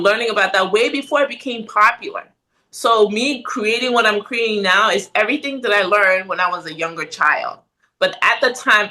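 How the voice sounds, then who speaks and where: A middle-aged woman from Ghana, a podcast guest talking in a casual interview, animated and often ending with "right?". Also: mid-range voice, low-quality recording. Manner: animated, frequent "right?" tag questions.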